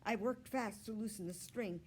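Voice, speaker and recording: A woman storyteller reading a children's book aloud with expressive, deliberate narration. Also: medium pitch, slight background noise.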